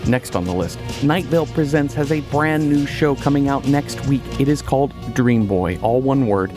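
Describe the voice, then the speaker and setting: A man's voice: mid-range voice, lively intonation, normal speed. A man reading scripted podcast announcements in a casual, chatty way with wry, informal asides. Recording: music bed under the voice.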